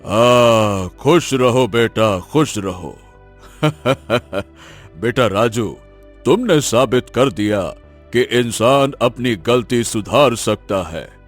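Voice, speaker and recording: A man's voice: mid-range voice, animated, drawn-out words, soft background music. An actor playing a kindly, proud old village teacher in a cartoon story, speaking in a fatherly, blessing way. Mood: pleased, proud.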